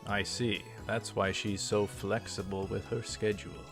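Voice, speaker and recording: Low-voiced man narrating a game playthrough. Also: level tone, unhurried, over music. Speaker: let's player reading a visual novel aloud. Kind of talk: reading game dialogue aloud with commentary.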